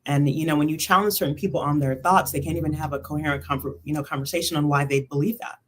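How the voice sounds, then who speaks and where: Woman, low register, conversational panelist, emphatic, voices her own thoughts like dialogue. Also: steady tone, fast talker, a little hiss or hum. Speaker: panelist on a spiritual talk livestream. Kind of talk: casual panel chat.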